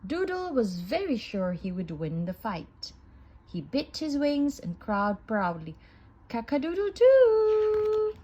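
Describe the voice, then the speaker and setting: Woman reading to kids, slow pace, lively sing-song pitch, storybook narration. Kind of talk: children's read-aloud narration.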